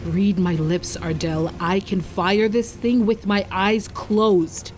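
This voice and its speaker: A woman playing a brash, sarcastic warrior sister in a fan audio drama, talking slowly and tersely. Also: medium pitch, steady tone, lightly acted. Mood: defiant. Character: brash young female warrior sister, defiant. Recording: rain and ambience noise behind.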